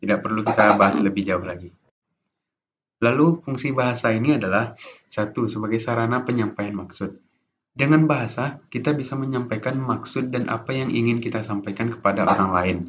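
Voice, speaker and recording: A young man giving an informal class presentation as a student, halting often with restarts and fillers. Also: mid-range voice, fairly bright tone. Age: young adult. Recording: dull lo-fi recording with faint noise.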